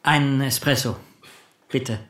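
A man acting in a German radio play as an anxious, withdrawn bookseller, speaking in a subdued, hesitant, terse way with a husky voice. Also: medium pitch, steady tone, a little slow, fairly bright tone, slight background noise, close to an everyday voice. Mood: guarded, subdued. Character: anxious, withdrawn male bookseller whose wife has gone missing.

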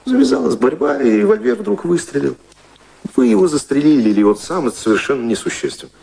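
An actor in a radio drama playing a wry, practical, devoted gentleman, ironic and urgent, with expressive delivery. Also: a little high, fast, vocal fry, full character voice, poor audio. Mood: urgent, scheming. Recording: faint background noise.

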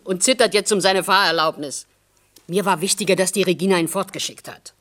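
An actress playing a resolute, caring wife and protective neighbour in a German radio play, firm and matter-of-fact. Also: female voice, lowish voice, a little fast, fairly bright tone, close to an everyday voice. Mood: earnest, caring.

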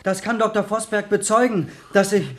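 High-voiced protesting schoolboy played by a man. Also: fairly even intonation, normal speed, slight background noise, lightly acted. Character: flustered, protesting schoolboy, wrongly accused. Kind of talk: German radio comedy drama acting.

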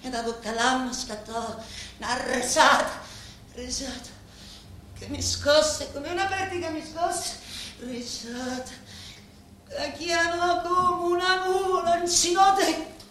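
A woman acting a grieving, vengeful daughter in a theatrical monologue, with a Sicilian accent and halting, incantatory delivery full of repeated words. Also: sits high, fairly even intonation, fairly bright tone. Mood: dazed, mournful. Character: grieving, vengeful daughter of murdered parents, confiding in a boss. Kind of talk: theatrical dramatic monologue in dialect-laced Italian. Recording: echoey, distant, faintly noisy stage recording.

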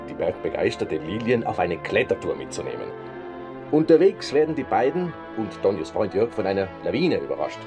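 Showy, lively, low-voiced mountain film star. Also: male voice. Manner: boastful, showy storyteller. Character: self-promoting male mountain film star and storyteller. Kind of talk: German radio play acting.

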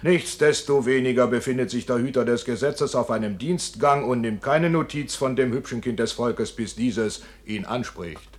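A man's voice: medium pitch, normal speed, hardly any pauses, close to an everyday voice. A man playing a theatrical fairground ballad showman in a radio play, declaiming florid, courteous patter to a crowd. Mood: florid, explanatory. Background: music.

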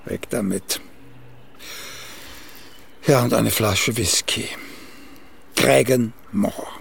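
An actor playing a vain, grumpy older playwright in a radio play, gravelly and pompous, issuing demands and pronouncements. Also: male voice, lowish voice, drawn-out words, heavily acted. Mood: demanding. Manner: peremptory, muttering, pompous pronouncements. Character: vain, grumpy ageing celebrated male playwright, demanding and grandiose. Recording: faint background noise.

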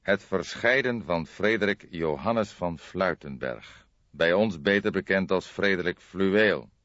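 A man's voice: deep voice, animated, fairly bright tone, no room sound, highs cut off. A man voicing an old police detective in an audiobook, courteous and formal but insistent, with restrained impatience. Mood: probing. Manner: deliberate, formal, spelling things out carefully.

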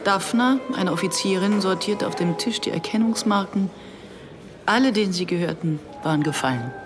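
A woman's voice: medium pitch, normal speed, music in the background, lightly acted. An actress playing a guarded, reflective woman in her early fifties who narrates and converses soberly in a German radio drama. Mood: somber, remembering. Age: middle-aged.

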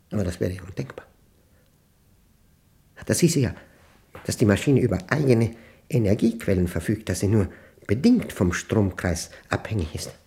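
An actor playing an uneasy older widower in a radio play, brooding and halting as he thinks aloud over his diary with pedantic care. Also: fairly low pitch, mellow, slightly rough, full character voice. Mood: puzzled, agitated.

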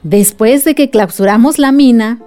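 A woman playing a gentle, patient villager explaining events in a radio drama. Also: a little high, normal speed, lightly acted. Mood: patient, reassuring. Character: patient woman villager recounting women's struggle to a child. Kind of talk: radio drama acting, storytelling.

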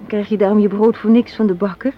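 A woman's voice: mid-range voice, fairly even intonation, fast, lightly acted. An actress in a radio play playing an assertive, cynical young woman, arguing in an articulate, sardonic way. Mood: challenging, cynical. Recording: muffled, hissy old radio play recording.

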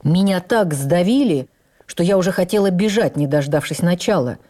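A woman playing an actress who recalls her life in the theatre, narrating in the first person for radio drama in an expressive, measured, literary way. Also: on the low side. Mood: earnest, reflective. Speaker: actress reading a stage memoir. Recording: radio broadcast with faint music.

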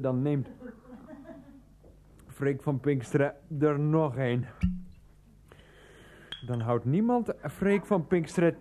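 A man's voice: on the high side, a little mellow. An actor playing a rambling, defiant, grumbling man who likes a drink, muttering to himself in a radio play. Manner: muttering to himself, talks of himself in third person. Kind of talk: Dutch radio play acting.

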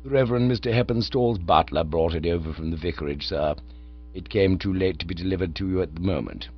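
A man voicing a calm, deferential male butler in a low, formal tone. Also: fast talker. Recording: dull lo-fi with mains hum.

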